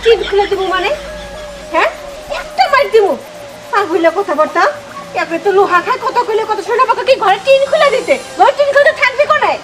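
Very high-pitched scolding village mother played by an actress. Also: expressive, a little fast, over music. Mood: exasperated. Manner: sharp scolding, rhetorical questions. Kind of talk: low-budget comic skit acting. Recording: noisy, with animals and outdoor ambience.

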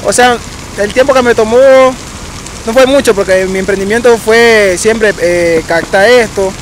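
A young man, a street marimba player, giving relaxed, hesitant answers outdoors in a park. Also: sits high, unhurried, slight background noise, low-quality recording. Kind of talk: casual interview answers.

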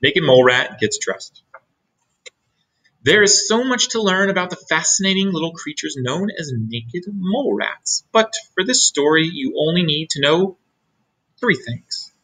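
A middle-aged man reads a picture book aloud for children. His voice is fairly high and husky, very animated in pitch, and he takes his time. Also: a little slow, poor audio. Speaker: storybook reader. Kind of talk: expressive children's read-aloud.

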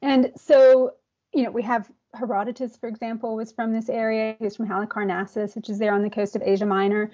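A woman's voice: on the high side, level tone, fairly quick, warm tone. A woman in her thirties with a Southern American accent, talking casually over a video call with an earbud mic, friendly and laughing often, with many ums as she searches for words. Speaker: guest translator. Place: indoor office. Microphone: earbud headset on video call. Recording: dull lo-fi video call audio.

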